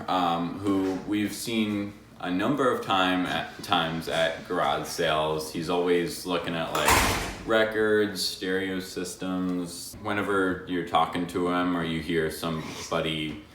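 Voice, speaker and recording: A man chatting casually and a little haltingly as he shows off secondhand finds as a video co-host. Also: low-pitched, fairly even intonation, fairly bright tone. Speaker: co-host of a thrifting haul video. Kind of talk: casual unscripted chat showing finds. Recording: roomy echo, some background noise.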